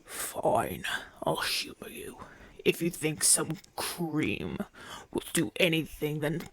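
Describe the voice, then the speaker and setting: Casting: male actor as haughty Roman gladiator, gruff and imperious, creaky voice, unhurried delivery, breathy murmurs, for ASMR roleplay. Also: fairly high pitch, lively intonation, fairly bright tone, no room sound, exaggerated voice. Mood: softening, grudging. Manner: imperious, formal, dismissive. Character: proud, haughty Roman gladiator champion, gruff but softening. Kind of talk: ASMR audio roleplay acting.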